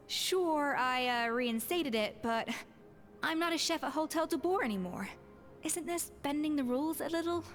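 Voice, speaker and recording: Snooty exacting chef lady in a game, high voice, hall reverb. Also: lively intonation, measured pace, faint music behind. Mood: guarded. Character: haughty, exacting former head chef, sharp-tongued woman. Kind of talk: video game voice acting.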